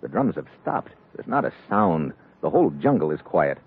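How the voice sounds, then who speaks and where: Wanted: tough, world-weary adventure hero narrating a 1950s radio serial, low pitch, dull vintage sound. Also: male voice, big pitch swings, normal speed, background noise, exaggerated voice. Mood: tense. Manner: clipped, wry, world-weary delivery. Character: hard-boiled male secret agent hero, wry and tough. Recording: muffled old radio recording, music behind.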